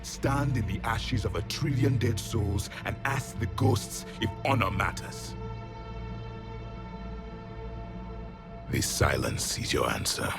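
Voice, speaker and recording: An actor playing a grim, proud alien warrior, the last of a fallen empire, speaking in solemn, blunt declarations for a video game. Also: male voice, fairly low pitch, very animated, measured pace, gravelly, exaggerated voice. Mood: grim, vengeful. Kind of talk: dramatic video game acting. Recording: dramatic music behind the voice.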